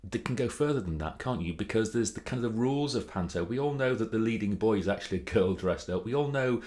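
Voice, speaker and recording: A man's voice: fairly low pitch, animated, fast talker, a little mellow. A British man giving informal advice as a presentation coach, chatty and theatrical with emphatic delivery. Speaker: presentation coach hosting a video series. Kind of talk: informal to-camera advice talk.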